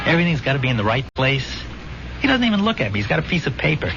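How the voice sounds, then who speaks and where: A man's voice: mid-range voice, big pitch swings, very fast, gravelly. An American man playing the restless ghost of a killed soldier, intense and haunted, in a 1970s radio drama. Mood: haunted, remembering. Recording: dull old radio broadcast, music behind.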